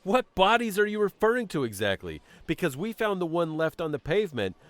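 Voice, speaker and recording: A man's voice: high-pitched, sing-song, a little fast, dry recording. A man acting as a stern, condescending police commissioner in an audio drama, sardonic and authoritative with formal phrasing. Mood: defensive, exasperated. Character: stern, bureaucratic male police commissioner, condescending to his former partner.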